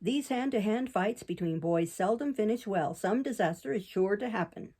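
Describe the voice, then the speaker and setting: A woman voicing a big old crab in a storybook reading, speaking slowly in a low, gruff voice. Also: expressive, mellow. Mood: scolding.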